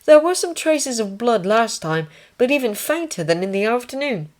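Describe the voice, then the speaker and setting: An earnest young army corporal, voiced by a woman, speaks in a raised pitch. He is dutiful and deferential to his superiors, a polite man in a wartime audiobook. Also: sing-song, normal speed, darkish tone.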